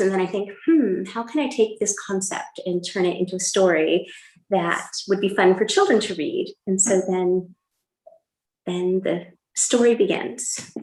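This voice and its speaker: A middle-aged woman with an American accent talks conversationally as a guest author, answering interview questions about her work. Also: medium pitch. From Illinois, United States. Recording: roomy, echoing room sound.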